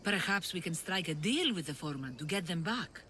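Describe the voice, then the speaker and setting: An actress playing an earnest older mother and refugee leader in a video game, measured and wistful. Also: on the low side, lively intonation, pushed and bright, slight background noise. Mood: earnest, concerned. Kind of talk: video game cutscene acting.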